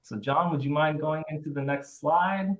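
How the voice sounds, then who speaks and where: A man's voice: fairly high pitch, normal speed. Upbeat man hosting a webinar. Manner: upbeat, chatty, many fillers and restarts. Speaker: webinar host. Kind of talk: webinar presentation. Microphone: video call.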